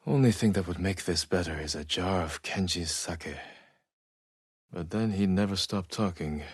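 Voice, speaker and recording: An actor playing a stoic, honorable samurai warrior in a video game, with restrained, solemn, reflective delivery and a soft-edged husky voice. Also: male voice, low-pitched, normal speed, no room sound, studio quality. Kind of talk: dramatic video game acting, reflective monologue.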